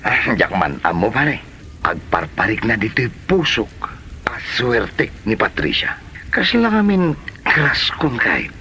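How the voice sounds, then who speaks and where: A man playing a lovestruck, easygoing young delivery man in a comedy drama, chatty and expressive, with an Ilocano accent. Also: mid-range voice, a little slow, a little hiss or hum, heavily acted. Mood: smitten, giddy.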